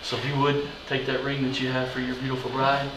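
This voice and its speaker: A man performing as an Elvis impersonator officiant, giving teasing, halting wedding ceremony patter in a chapel. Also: medium pitch, monotone. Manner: halting, drawling showman, teasing. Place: wedding chapel in Las Vegas. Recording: roomy, distant mic, noisy background.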